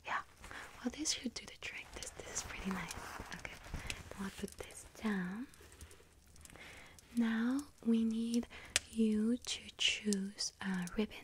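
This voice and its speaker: A woman playing a friendly, scatterbrained young witch serving a bakery customer in an ASMR roleplay, hesitant, apologetic and gently chatty. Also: a little high, steady tone, takes it slowly, pauses often, noisy background. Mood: apologetic, helpful. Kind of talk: ASMR roleplay, soft whispering.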